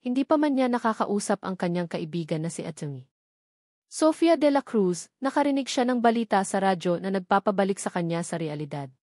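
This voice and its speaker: A woman's voice: medium pitch, a little mellow, no room sound, clean studio sound. A woman narrating a scripted dramatic story.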